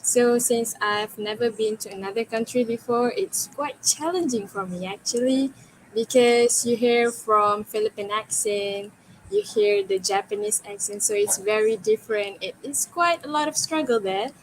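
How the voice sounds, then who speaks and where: A woman with a fairly high voice talks carefully on a webinar panel, pausing on fillers as she thinks aloud. A faint noise hums under her video-call audio. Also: low-quality recording. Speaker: student panelist. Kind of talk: online panel sharing.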